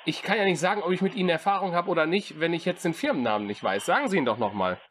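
A man on a phone call, with a calm, dry and sarcastic delivery. His fairly high voice rises and falls a lot. A faint hiss runs behind him. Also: very fast. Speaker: host of a prank call show. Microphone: phone call recording.

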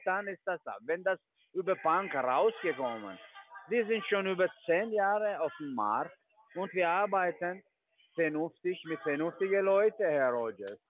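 High-voiced guy on a crackly phone line, pushy, broken german, keeps cutting in. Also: animated, moderate pace. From half Italian. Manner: insistent, broken German, talks over the other. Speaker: trading firm agent on the phone. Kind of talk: phone call. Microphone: telephone.

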